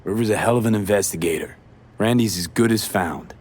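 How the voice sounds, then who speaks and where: An actor voicing a hard-boiled, blunt mercenary in a cyberpunk video game, with a low, husky delivery. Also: male voice, brisk, darkish tone. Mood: reassuring.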